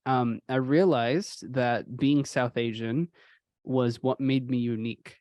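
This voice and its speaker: Relaxed young man from Houston. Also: mid-range voice, slow pace, no room sound, lo-fi sound. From Houston, Texas. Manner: relaxed, uses many fillers like "you know". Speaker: podcast guest, sound engineer. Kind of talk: casual reflective storytelling.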